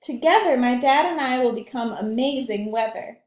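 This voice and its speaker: A woman's voice: a little high, lively intonation, moderate pace, close to an everyday voice. A woman reading aloud as a spirited young boy in a storybook, earnest and emphatic. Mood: proud, eager. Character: spirited young boy longing for his own name. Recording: echoey room.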